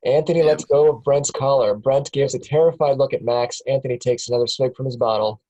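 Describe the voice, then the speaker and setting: Table-read narrator, male, mellow and plain. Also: medium pitch, steady tone, a little fast, poor audio. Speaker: narrator reading stage directions.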